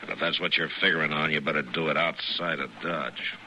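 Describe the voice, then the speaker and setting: An actor playing a calm, firm frontier lawman with an American accent, measured and authoritative, in an old-time radio western. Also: rumbling low, full character voice. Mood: firm, questioning. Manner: measured, authoritative, plain-spoken. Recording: noisy old radio recording.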